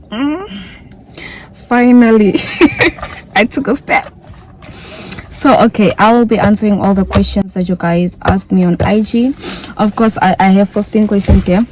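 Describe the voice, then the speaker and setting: A woman's voice: mid-range voice, a little mellow, slight background noise, low-quality recording. Chatty young Namibian woman vlogging. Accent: Namibian English. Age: young adult. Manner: chatty, repeats herself, giggly. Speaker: YouTuber. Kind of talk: casual vlog Q&A.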